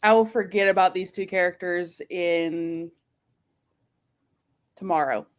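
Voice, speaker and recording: A woman's voice: lowish voice, fairly even intonation, unhurried. A woman co-hosting a casual book discussion on a live stream, informal and flippant in the way she talks. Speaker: co-host of a book readalong live show.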